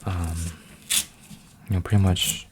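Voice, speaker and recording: A man in his twenties, an ASMR creator, rambling softly with lots of fillers and restarts while folding paper, sometimes dropping to a whisper. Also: deep voice, level tone, slow pace, heavy background noise. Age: 20s. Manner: meandering, full of fillers and restarts. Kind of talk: soft-spoken rambling ASMR.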